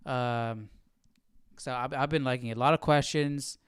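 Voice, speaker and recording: A man talks casually as a livestream host, puzzling things out aloud. His voice is clear and smooth, with an informal, questioning manner. The sound is dry and close, with little room around it. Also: medium pitch, fairly even intonation, normal speed, no room sound. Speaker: host of a Bible study livestream.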